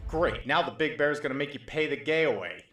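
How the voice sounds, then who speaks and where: A man's voice: mid-range voice, animated, a little fast, fairly bright tone, lightly acted. A man playing a jumpy, cynical survivor sidekick in an apocalyptic audio drama, with a sardonic, dramatic delivery. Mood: wary. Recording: roomy echo, faint dramatic music behind.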